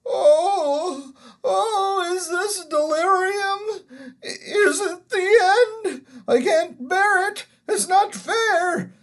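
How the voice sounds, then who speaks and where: A wailing cowardly old gentleman. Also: very slow, full character voice. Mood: panicked wailing. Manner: high, tearful, trembling scream.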